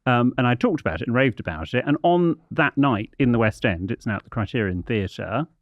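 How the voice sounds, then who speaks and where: A man's voice: mid-range voice, animated, fairly quick, no echo, lo-fi sound. A man co-hosting a podcast, talking in a precise, playful and camp way. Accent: English. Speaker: podcast co-host. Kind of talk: casual chat.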